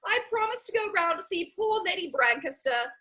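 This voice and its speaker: Gushing Victorian society lady for stage comedy; chatty, very bright, animated. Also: extremely high pitch, moderate pace, full character voice. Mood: gossipy, airy. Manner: gushing, rambling, theatrical. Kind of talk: stage comedy dramatic reading.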